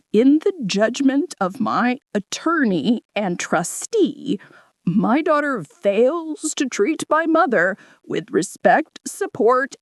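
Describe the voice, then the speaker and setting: A woman voicing a formal male lawyer reading out legal text in an audio story, in a measured manner. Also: a little high, no echo, studio quality.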